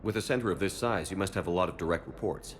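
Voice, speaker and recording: A man playing a steady, supportive military commander in a video game, direct and clipped in his phrasing. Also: low-pitched, fast, lightly acted. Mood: supportive, businesslike.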